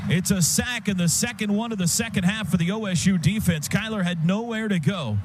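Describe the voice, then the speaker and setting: An American man calling live football on the radio in clipped phrases, lifting with excitement as plays open up. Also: high voice, fairly quick, over music. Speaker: radio play-by-play announcer. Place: stadium radio booth. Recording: loud stadium noise behind broadcast booth. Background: loud outdoor stadium ambience.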